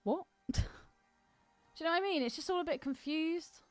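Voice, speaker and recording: A woman's voice: sits high, normal speed, darkish tone, no room sound, faint music behind. A woman co-hosting a podcast, chatting casually about films in an opinionated, bantering way. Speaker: podcast co-host. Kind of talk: casual film discussion chat.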